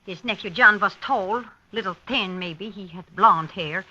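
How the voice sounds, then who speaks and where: Hesitant abandoned wife, bright voice. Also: female voice, medium pitch. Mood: hesitant, recalling. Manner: halting, plain-spoken, short phrases. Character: bitter, hesitant abandoned wife questioned by police. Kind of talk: 1950s radio drama acting. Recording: dull lo-fi vintage radio broadcast.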